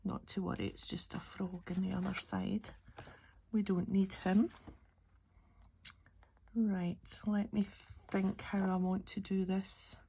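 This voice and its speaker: A middle-aged Scottish woman, slightly croaky with a cold, chatting and thinking aloud as she narrates a casual craft tutorial. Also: mid-range voice, level tone, slow pace, pauses often, warm tone. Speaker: craft channel host. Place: indoor craft table. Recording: clearly audible background noise, handling clicks.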